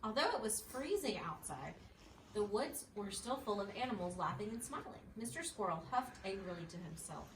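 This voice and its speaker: Lively woman reading a children's story. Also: medium pitch, normal speed. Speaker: mother reading a storybook aloud. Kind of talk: expressive children's story narration. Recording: roomy, distant mic, faint noise.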